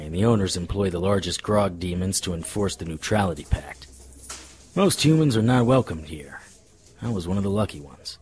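An actor playing a sardonic, tough-talking private detective in a noir radio drama. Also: male voice, on the low side, darkish tone, breathy edge. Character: hard-boiled male private detective, sardonic and threatening.

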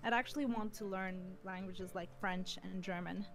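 A young woman with a mixed Belgian and Lithuanian accent, a Lithuanian native, speaking softly and a little hesitantly as a guest in a casual stream interview. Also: mid-range voice, steady tone, normal speed.

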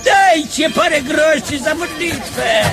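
High, wailing old-style blind beggar. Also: male voice, takes it slowly, music in the background, exaggerated voice. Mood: pleading, declaiming. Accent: eastern Polish borderland. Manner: rustic, folksy, colloquial Polish speech. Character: crafty, grumbling blind beggar man chanting for alms. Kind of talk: radio play acting.

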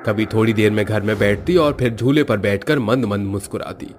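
A man's voice: lowish voice, fairly quick, a little hiss or hum. A man narrating a scripted horror story.

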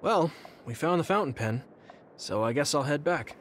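An earnest young male soldier from an anime game, with a fairly high, lively voice. He is polite and a little awkward, hesitating as he asks. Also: normal speed, a little hiss or hum. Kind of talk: anime-style video game dubbing.

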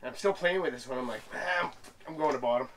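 A man excitedly telling his fishing buddy about hooking a big fish, acting out the fight with sound effects. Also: medium pitch, brisk, far from the mic, slight background noise, poor audio. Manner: animated storytelling with sound effects. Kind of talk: excited casual banter. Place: inside an ice fishing shelter on a frozen lake.

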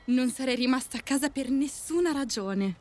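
A woman playing a defiant, spirited young daughter in an audio drama, expressive and ready to take offence. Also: sits high, moderate pace, soft background music, close to an everyday voice. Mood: wry, composed.

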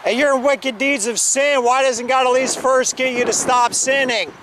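Street preacher, male, insistent outdoor delivery, high pitch, wind on the camera mic. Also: normal speed. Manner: loud, insistent preaching that drops to calmer conversation. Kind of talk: open-air preaching, then debate. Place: outdoor church parking lot.

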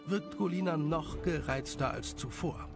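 A man's voice: fairly high pitch, a little slow, gravelly, music in the background. A man reading scripted story narration as the narrator of an audio tale.

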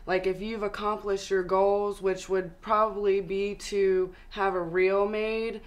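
A young woman with a Southern US accent, a guest on a studio interview, giving steady explanatory advice. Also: medium pitch, unhurried. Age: young adult. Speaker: actress and model guest. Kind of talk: interview answers. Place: studio with city backdrop, seated on sofa.

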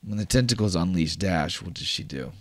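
A man's voice: deep voice, lively intonation, fairly quick. A man running a tabletop roleplaying game as game master, narrating vividly with frequent fillers like "like.".

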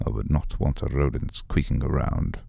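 A man voicing an aloof, menacing vampire lord in an ASMR roleplay, speaking formally and deliberately in a brooding, husky, gravelly voice. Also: very deep voice, warm tone, full character voice. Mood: quietly menacing.

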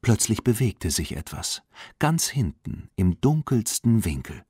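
A man narrates a children's story in standard German with a low voice. His pitch moves in lively swings, and the recording is dry and close, with no room sound. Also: unhurried, breathy edge, no room sound. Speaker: audiobook narrator.

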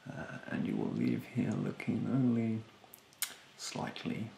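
A man in his twenties doing an ASMR roleplay as a rude beautician, speaking softly and breathily, often near a whisper, in a haughty, condescending way. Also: lowish voice, sing-song, slow pace, vocal fry, echoey room, slight background noise. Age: 20s. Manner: haughty, condescending, politely insulting. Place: small bedroom, indoors.

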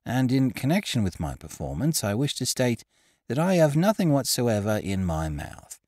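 A man voicing a dapper male bird imitator who gives his stage patter in a soft, formal way. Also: medium pitch, sing-song, normal speed, dry recording, clean studio sound.

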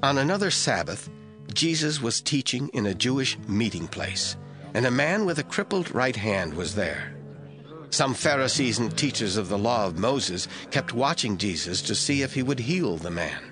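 A man narrating a scripture audio drama from a script in a deliberate storytelling manner. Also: medium pitch, sing-song. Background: faint music.

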